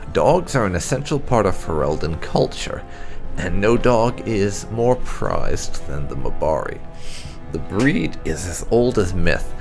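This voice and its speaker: Low-key creaky man reading fantasy lore. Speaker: gaming channel host. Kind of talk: scripted narration, reading lore entries aloud.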